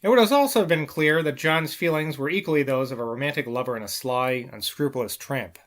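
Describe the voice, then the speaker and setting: A man's voice: fairly high pitch, fairly quick, fairly bright tone. A man narrating a novel as an amateur audiobook reader, with the occasional small stumble over the text. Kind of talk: scripted literary narration, novel read aloud.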